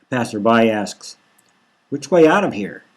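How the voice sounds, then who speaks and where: A man narrates dark, satirical fiction in the first person at a very slow pace. His mid-pitched voice moves in a halting, brooding way, with dramatic weight given to short phrases. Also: lively intonation. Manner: brooding, halting delivery with rhetorical questions. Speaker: author reading his own novel.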